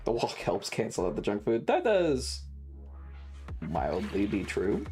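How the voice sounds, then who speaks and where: Animated, playful game streamer over music. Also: low voice, a little slow. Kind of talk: casual live stream chat while gaming. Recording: music behind the voice. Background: video game music.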